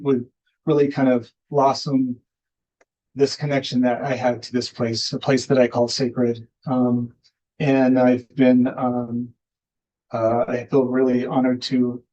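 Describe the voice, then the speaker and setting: An American man giving an informal guest speech in a halting, hesitant way, often restarting his phrases. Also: medium pitch, flat delivery, dark tone, lo-fi sound. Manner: halting, hesitant delivery with repeated starts. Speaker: guest speaker, filmmaker. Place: indoor event hall, speaking at a podium.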